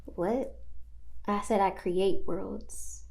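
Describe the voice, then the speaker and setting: A woman's voice: medium pitch, fairly even intonation, extremely slow, gravelly, mellow. Laid-back, slangy woman guest from Virginia. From Virginia, United States. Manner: laid-back, slangy, brief blunt answers. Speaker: talk show guest, artist. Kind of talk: casual interview chat.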